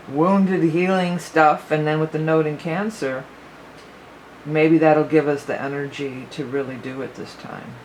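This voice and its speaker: A woman's voice: sits low, rough recording. Woman, psychic astrology reader, slightly worn voice, rambling, lots of fillers, faint background hiss. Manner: rambling, thinks aloud with many fillers. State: losing her voice a little. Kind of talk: casual spoken astrology forecast.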